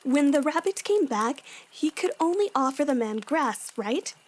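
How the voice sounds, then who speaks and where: A woman's voice: high voice, animated, unhurried. A woman playing a bossy but caring young warrior woman in a fan audio drama, with a firm, matter-of-fact manner. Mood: gentle, storytelling.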